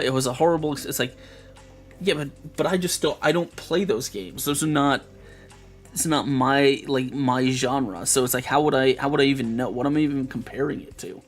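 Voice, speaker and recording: A man chatting casually on a live stream, rambling through restarts and fillers, with a voice that is going hoarse. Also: mid-range voice. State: losing his voice.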